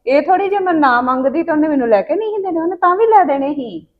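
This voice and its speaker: A cheerful young wife laughs off advice with light teasing, played by a woman. Her voice is on the higher side, and the recording sounds somewhat dull and lo-fi. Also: expressive, fast. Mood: playful. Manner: playful, teasing. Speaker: comedy sketch actor.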